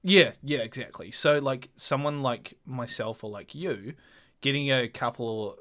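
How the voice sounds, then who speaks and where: A young man with a mid-range voice, talking casually on a podcast. He is animated and swears freely. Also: medium pitch, measured pace. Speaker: podcast co-host. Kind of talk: casual chat.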